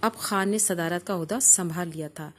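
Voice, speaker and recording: A woman with a Pakistani accent narrates a literary memoir in a measured, formal storytelling manner. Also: lowish voice. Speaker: audiobook narrator reading a memoir.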